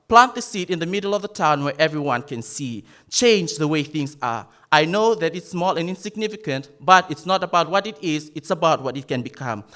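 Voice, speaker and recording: A man's voice: a little high, fairly bright tone. A young man from Fiji delivering an emphatic, rhetorical competition speech to an audience. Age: young adult. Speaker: youth orator.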